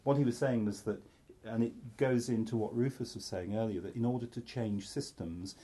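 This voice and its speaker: A middle-aged psychiatrist from North Wales giving a candid, self-deprecating talk to an audience, full of restarts and asides. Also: male voice, mid-range voice, moderate pace, dark tone, slightly rough.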